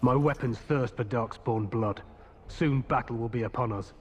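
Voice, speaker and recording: An actor playing a fierce, battle-hungry warrior in a fantasy game, grim and declamatory. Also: male voice, medium pitch, moderate pace, close to an everyday voice. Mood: grim, eager. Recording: echoing hall with faint noise.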